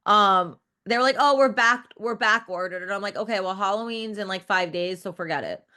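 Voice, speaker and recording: A woman in her thirties talks at a middle pitch in a loose, rambling way. Her sentences are full of fillers and restarts, and she swears without a second thought. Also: a little fast, sharp tone. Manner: rambling, lots of "like" and self-interrupting restarts; frank, swears casually. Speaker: interview guest, music video director. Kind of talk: casual interview chat.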